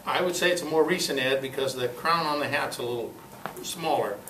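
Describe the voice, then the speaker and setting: A man giving an informal, halting, jokey show-and-tell talk to a club meeting, in a folksy way. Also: medium pitch. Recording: echoey room, distant mic, clear background noise.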